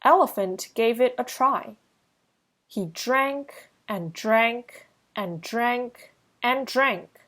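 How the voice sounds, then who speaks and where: A woman reading a children's picture book aloud as narrator, deliberately, with pauses between short phrases. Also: fairly high pitch, fairly bright tone. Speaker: storybook reader.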